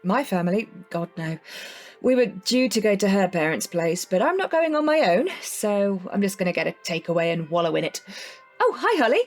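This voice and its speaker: Casting: downcast neighbour, woman, apologetic and awkward, medium pitch, natural drama delivery. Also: big pitch swings, moderate pace, soft background music. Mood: hesitant. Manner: hesitant, polite, self-effacing. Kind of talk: naturalistic audio drama acting.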